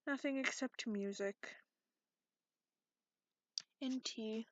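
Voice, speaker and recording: A woman voicing a cranky, sarcastic male dragon in a soft, grumbling ASMR roleplay, grudgingly polite and partly whispered. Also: on the high side, extremely slow, no room sound. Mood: sullen, grumbling. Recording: lo-fi, noisy close recording with clicks.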